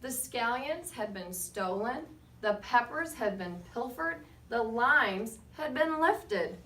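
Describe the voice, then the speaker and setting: A woman reading a picture book aloud to children as a guest storyteller, with expressive, measured delivery and short asides to her listeners. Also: fairly high pitch. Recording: roomy, distant mic, faint background noise.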